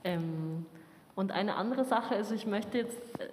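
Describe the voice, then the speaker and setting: A woman's voice: medium pitch, a little slow. Hedging woman commenting from the audience. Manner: rambling, hedging with fillers. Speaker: audience member. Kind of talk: discussion comment. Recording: roomy hall reverb, faint noise.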